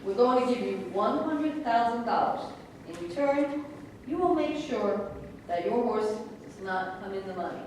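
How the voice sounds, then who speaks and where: A woman's voice: fairly high pitch, expressive, normal speed, darkish tone, close to an everyday voice. Woman as a pushy matter-of-fact schemer in a play reading, super echoey, faint noise. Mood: coolly scheming. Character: pushy, businesslike schemer offering a bribe. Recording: echoey room, distant mic, faint noise.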